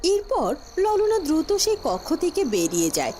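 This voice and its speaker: A woman's voice: extremely high pitch, wildly swooping pitch, moderate pace, warm tone, a little hiss or hum. A woman narrating a scripted fairy-tale cartoon story.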